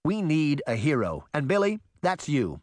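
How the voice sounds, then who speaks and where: Man voicing a blunt, higher-pitched insistent girl. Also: huge pitch swings, normal speed, no echo. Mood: urgent. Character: Sabrina, blunt, impatient, determined girl.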